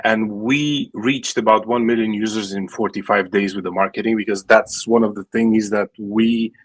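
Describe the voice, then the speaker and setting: A man's voice: fairly low pitch, steady tone, normal speed. A man in his late thirties from Romania with a Romanian accent, a startup founder answering interview questions with many fillers and restarts. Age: late 30s. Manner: many fillers and restarts, fluent non-native English. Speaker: guest, gaming startup founder.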